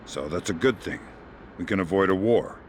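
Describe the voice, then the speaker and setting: An actor playing a calm, middle-aged American covert operative in a video game, speaking in a dry, deadpan way. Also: male voice, sits low, moderate pace, slight background noise, lightly acted. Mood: dry, businesslike.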